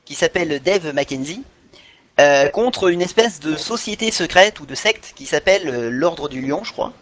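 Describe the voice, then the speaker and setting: A man's voice: on the high side, lively intonation, normal speed, fairly bright tone. A middle-aged man chatting casually and enthusiastically as a podcast co-host, often searching for his words. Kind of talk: casual animation fan chat.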